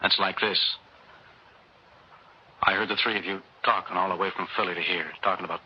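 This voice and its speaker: A man's voice: deep voice, fairly even intonation, brisk, husky. An actor playing a plain-spoken, morally indignant working man in a 1960s radio drama, speaking in earnest, colloquial phrases. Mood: hesitant, brooding. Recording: muffled, hissy old radio recording.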